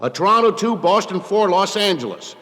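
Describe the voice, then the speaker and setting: A man's voice: high-pitched, very animated, brisk, a bit bright. A man playing a muddled, earnest sportscaster in a radio comedy bulletin. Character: muddled male sportscaster reading nonsense hockey scores. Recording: long reverb.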